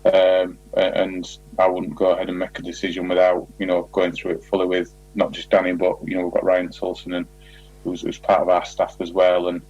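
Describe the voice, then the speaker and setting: A man with a very low voice answers interview questions in a measured, explanatory way. His intonation stays flat and even, and the audio is dull and lo-fi, like a radio call. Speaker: football manager.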